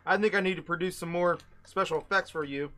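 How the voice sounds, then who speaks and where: A man voicing a taunting male villain who scorns the heroes in a loud, haughty voice. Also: high voice, quick pace. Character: taunting male villain behind a scary booming voice.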